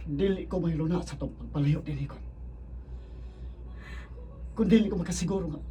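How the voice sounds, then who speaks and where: An actor playing an earnest, apologetic man in a radio drama, speaking in a hushed, halting way with a Filipino accent. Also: a little high, lightly acted. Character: earnest, apologetic man gently turning down an admirer. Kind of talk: Cebuano radio drama acting. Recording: distant mic, background ambience noise.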